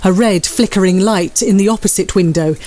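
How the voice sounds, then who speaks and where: Female narrator, medium pitch, dramatised classic literature, scripted storytelling. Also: fairly quick, dark tone.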